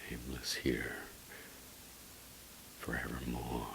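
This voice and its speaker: Male poetry reader, extremely low and creaky, theatrical Gothic delivery with shifting intensity, faint hiss behind. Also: level tone, slow. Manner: theatrical, swinging between hushed whispers and loud outbursts. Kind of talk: dramatic Gothic poetry recitation.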